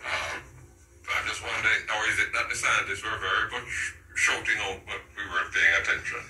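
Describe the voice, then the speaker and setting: A man's voice: lowish voice, fairly quick, bright, forceful tone. A man giving a reflective broadcast talk, heard through a radio playing in a room. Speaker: radio speaker. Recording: distant, echoey, faintly noisy radio playback.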